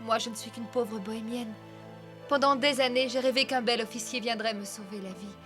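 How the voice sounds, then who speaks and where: A romantic young bohemian woman of a cartoon melodrama, as an actress plays her. Her voice sits fairly high and earnest, devoted yet proud, with music clearly playing behind. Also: steady tone, brisk, natural voice. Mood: tender, adoring. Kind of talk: animated film dub. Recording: loud background music.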